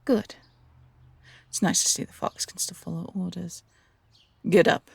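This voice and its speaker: A woman voicing a smug, menacing bounty hunter in an audio roleplay, taunting and condescending in an unhurried way. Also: fairly low pitch, steady tone, creaky, slightly rough, dry recording, exaggerated voice. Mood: cold threat.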